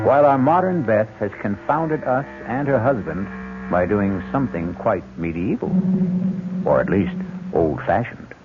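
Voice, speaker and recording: Wry storytelling host, low voice, measured theatrical narration, prominent music behind, old radio sound. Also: male voice, narrow, tinny audio. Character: wry, sonorous host narrator of mystery tales. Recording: band-limited old radio broadcast.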